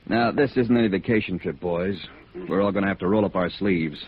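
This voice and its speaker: An American actor playing a brisk, no-nonsense leader of investigators in a vintage radio drama. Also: male voice, low voice, close to an everyday voice. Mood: businesslike. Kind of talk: 1950s radio drama acting. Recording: narrow, faintly noisy old radio recording.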